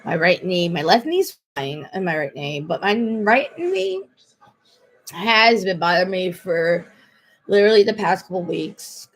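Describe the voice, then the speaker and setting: A woman's voice: fairly low pitch, big pitch swings, a little slow. A woman in her late twenties talking casually to the camera in a personal vlog, rambling, repeating herself and restarting her sentences. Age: 20s. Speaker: vlogger.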